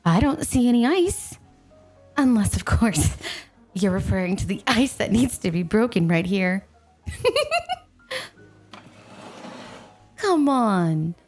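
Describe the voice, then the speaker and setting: Mellow, teasing snow maiden played by a woman. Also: mid-range voice. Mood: coy, amused. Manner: coy, teasing, coaxing, addressing the listener with pet names. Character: playful, teasing, possessive snow maiden spirit posing as a young woman. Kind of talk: ASMR roleplay, scripted, intimate one-sided dialogue.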